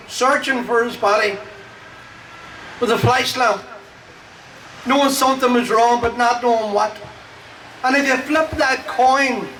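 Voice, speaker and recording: A man introducing the speakers at an event, with halting, emphatic remarks. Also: very high voice, bright, forceful tone, reverberant, distant mic, a little hiss or hum, poor audio. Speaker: event compere.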